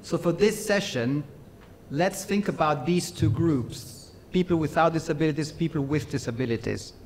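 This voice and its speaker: A middle-aged man with an Austrian accent giving a workshop lecture, measured and pausing often with fillers. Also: on the high side, a little hiss or hum.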